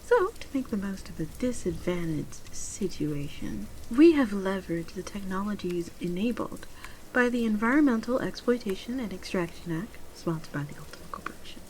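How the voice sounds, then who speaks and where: A woman playing a polite, genteel pet shop saleswoman in a soft-spoken ASMR roleplay, measured and often near a whisper. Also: mid-range voice, animated, lightly acted, low-quality recording. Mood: earnest sales pitch. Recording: clearly audible ambient background noise.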